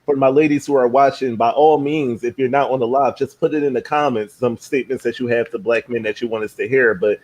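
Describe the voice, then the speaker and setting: A man in his thirties hosting a live-stream talk, relaxed and playful, laughing easily. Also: medium pitch, a little fast, hardly any pauses, rough recording. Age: 30s. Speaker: host.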